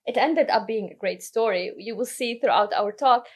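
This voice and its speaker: A woman from Lebanon, a podcast guest telling her career story in a relaxed way, often restarting her sentences. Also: on the high side, moderate pace. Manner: relaxed, self-correcting storyteller. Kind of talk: casual interview conversation.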